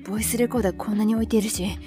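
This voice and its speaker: A woman's voice: medium pitch, fairly even intonation, very fast, music in the background, close to an everyday voice. A woman playing a frustrated young woman on a search, talking to herself in colloquial bursts in an audio drama. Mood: annoyed. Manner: muttering to herself, colloquial, exclamatory. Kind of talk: Japanese audio drama acting.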